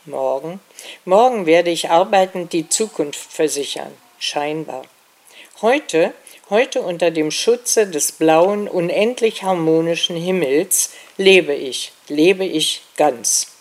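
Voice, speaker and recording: A woman in her eighties, a survivor, speaking slowly and solemnly as she recalls her childhood and recites a poem. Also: lowish voice, darkish tone. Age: 80s. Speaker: Holocaust survivor and poet.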